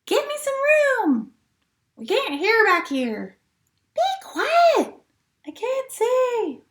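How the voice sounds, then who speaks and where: An impatient storybook crowd member played by a woman reader calls out in a raised, high-pitched voice. The complaints are loud and exclaimed, with a bright, sharp edge. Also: huge pitch swings, extremely slow, roomy sound, heavily acted. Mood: impatient, annoyed. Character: impatient people shouting from a crowded shore.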